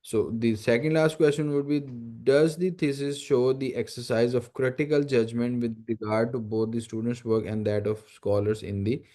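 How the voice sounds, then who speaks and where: Mellow-voiced Pakistani male lecturer. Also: medium pitch, steady tone, normal speed, hardly any pauses. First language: Urdu. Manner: explanatory, emphatic repetition, rhetorical questions. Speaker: guest university lecturer. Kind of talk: academic lecture. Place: online video meeting.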